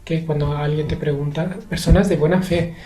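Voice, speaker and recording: A young man from Spain answers interview questions in a measured, hesitant way, pausing often and using fillers. His voice has a mellow, dark tone. The sound bounces around an echoey room. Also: a little high, steady tone. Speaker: interview guest. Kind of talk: thoughtful conversational interview.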